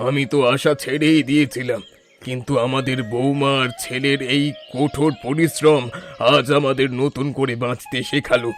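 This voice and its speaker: A man's voice: medium pitch, little pitch movement, moderate pace, soft background music, close to an everyday voice. A man voicing a grateful, emotional old father-in-law in a cartoon family drama. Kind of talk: cartoon voice acting.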